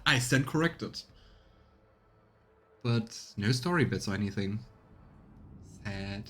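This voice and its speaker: A man's voice: lowish voice, lively intonation, takes it slowly, fairly bright tone, a little hiss or hum. A man giving casual let's play commentary, musing aloud and reading game text out as he streams. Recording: game music behind. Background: video game music.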